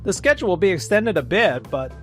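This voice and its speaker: A man's voice: sits high, sing-song, a little fast, soft background music. A man voicing an arrogant, careless male manager in a comic dub, blustering and dismissive. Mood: blustering, defensive. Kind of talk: scripted manga dub voice acting.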